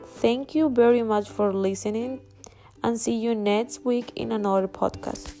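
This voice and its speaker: A woman's voice: a little high, steady tone, a little slow, music in the background. A woman from Colombia, an English student, reading a prepared self-introduction with upbeat, careful pacing.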